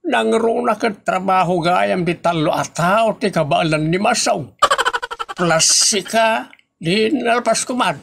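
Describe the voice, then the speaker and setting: A man plays a rural Ilocano worker in a comedy audio drama. The voice is very creaky and full of exclamations. The character gossips and argues back with excuses, in an Ilocano accent. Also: high-pitched, takes it slowly, fairly bright tone, a little hiss or hum, exaggerated voice. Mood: defensive, irritated. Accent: Ilocano, northern Philippines. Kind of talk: comedy radio drama dialogue.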